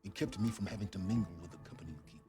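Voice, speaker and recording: A man plays an arrogant older genius in a video game, with a very deep voice. He speaks in haughty, precise, measured phrases, cold and full of disdain, with music clearly behind him. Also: close to an everyday voice. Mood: disdainful. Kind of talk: naturalistic game acting.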